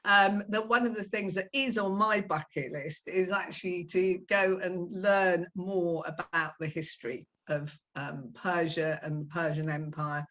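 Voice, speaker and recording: Hesitant low-voiced woman guest. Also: steady tone, a little slow, few pauses, fairly bright tone. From Iran. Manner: hesitant, many ums, laughs easily. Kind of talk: casual interview chat.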